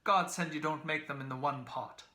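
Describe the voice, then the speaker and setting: A man's voice: a little high, normal speed, pushed and bright, echoey room, pitched above the performer's own voice. A man voicing a homely, comic old Irish woman with a wheedling manner, in a literary reading.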